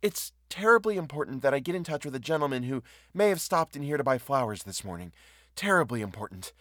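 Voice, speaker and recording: A man's voice: mid-range voice, big pitch swings, quick pace, fairly bright tone, no room sound, clean studio sound, pitched above the performer's own voice. A man reading a story as an anxious, proud young woman, pleading and hesitant in manner. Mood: urgent pleading. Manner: pleading, hesitant, breaking off mid-sentence. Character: anxious, proud jilted young woman on her wedding day.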